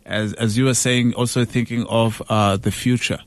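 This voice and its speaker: A man hosting a radio show, asking questions in a relaxed, friendly way with short interjections. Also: medium pitch, moderate pace, no echo. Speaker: radio host. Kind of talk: casual radio chat. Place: radio studio.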